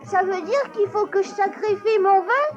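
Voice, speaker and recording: A woman's voice: very high-pitched, normal speed, lo-fi sound, soft background music. A woman voicing a brash, teasing young boy in a dubbed cartoon. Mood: cheeky. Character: cheeky mischievous young boy.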